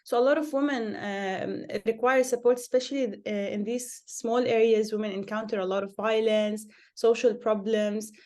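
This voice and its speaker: Earnest young woman with many pauses. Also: medium pitch, a little mellow. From Lebanon. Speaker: interview guest, social worker. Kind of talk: conversational interview answers.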